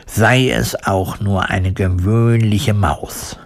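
A man's voice: fairly low pitch, fairly even intonation, gravelly. A man voicing a kindly, humble iron woodcutter with an earnest, courteous manner for a storybook. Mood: modest. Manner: earnest, modest, deliberate.

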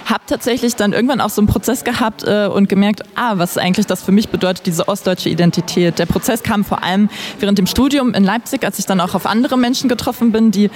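A woman's voice: medium pitch, fast talker, a little mellow, a little hiss or hum. A woman from East Germany, a workshop leader, earnestly describing her project. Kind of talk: explaining her workshop to camera.

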